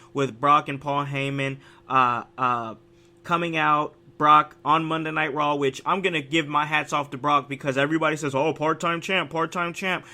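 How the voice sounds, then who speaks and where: A man hosting a casual podcast chat, sounding raspy from a cold, talking with an animated, hyped-up energy and frequent restarts. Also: on the high side. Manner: animated, hype delivery with many restarts. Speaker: podcast host.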